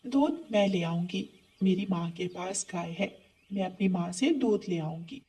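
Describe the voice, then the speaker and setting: A woman's voice: on the low side, unhurried, warm tone, far from the mic, close to an everyday voice. A woman voicing a kind, helpful young female sparrow in a children's cartoon. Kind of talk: Hindi cartoon story acting.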